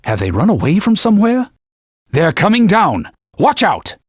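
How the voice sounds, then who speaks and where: A man voicing a haughty, pompous talking sword that guides and scolds its wielder in a formal, stern manner, with a slightly husky voice. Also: wildly swooping pitch, moderate pace, no room sound, clean studio sound, exaggerated voice. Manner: pompous, formal and scolding, turns shrill when alarmed. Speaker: sidekick guide. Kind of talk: video game voice clips. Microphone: dry studio mic.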